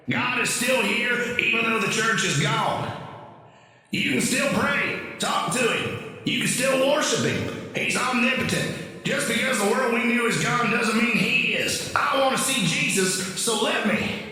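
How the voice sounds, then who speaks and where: A man plays a devout, unshaken man in his late sixties in an audio drama. His voice is extremely high and very bright. It rings with heavy echo, like a large hall. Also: measured pace, exaggerated voice. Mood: firm, devout. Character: Al, calm devout Baptist man of sixty-eight. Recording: very echoey, reverberant hall-like sound.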